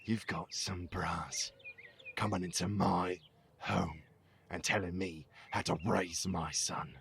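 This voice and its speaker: A man's voice: low-pitched, fairly even intonation, takes it slowly, gravelly, a bit bright, husky, heavily acted. A man playing an angry, domineering father in an audio drama, confrontational and demanding. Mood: bitter, indignant.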